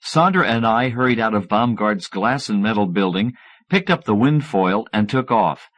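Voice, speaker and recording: A man's voice: lowish voice, expressive, normal speed, fairly bright tone. A middle-aged man narrating a first-person novel as an audiobook reader.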